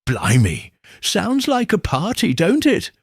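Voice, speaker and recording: A man's voice: high-pitched, huge pitch swings, slow pace, husky, no room sound, clean studio sound, pitched above the performer's own voice, exaggerated voice. A man voicing an eager, gossipy sixteen-year-old village boy in an audiobook, using colloquial slang. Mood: excited. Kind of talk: audiobook character voice.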